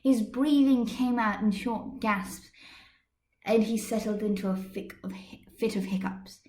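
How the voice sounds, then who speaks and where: High-voiced woman narrating, echoey room. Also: measured pace, a little mellow. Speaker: amateur podfic reader. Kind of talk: expressive fan fiction narration. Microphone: computer microphone. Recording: echoey room, computer microphone.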